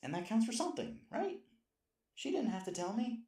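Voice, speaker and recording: A man's voice: very high voice, big pitch swings, moderate pace, dark tone. A man playing a sweet, flustered, self-deprecating boyfriend in an intimate roleplay audio, hesitant and rambling as he corrects himself. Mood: anxious self-talk.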